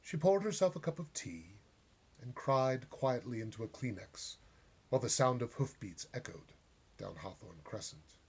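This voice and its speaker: A man reading a short story aloud as a storyteller, with gentle, deliberate and dramatic phrasing. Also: medium pitch, sing-song, a little hiss or hum.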